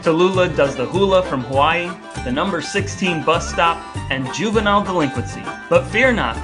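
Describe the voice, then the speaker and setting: A man hosting a podcast in a casual, conversational style. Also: on the high side. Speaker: podcast host.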